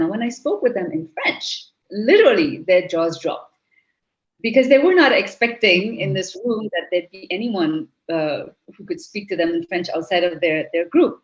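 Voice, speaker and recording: A middle-aged Trinidadian woman, a guest in an interview, speaking reflectively with frequent restarts. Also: mid-range voice. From Trinidad and Tobago. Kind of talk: interview conversation. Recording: slightly muffled audio.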